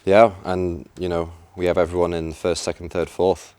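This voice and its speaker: Male esports commentator, very deep, dry sound, explains plays in a chatty way. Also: level tone, moderate pace, a little mellow, clean tone, no room sound. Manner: chatty, explanatory, some restarts. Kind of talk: live game commentary. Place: event venue.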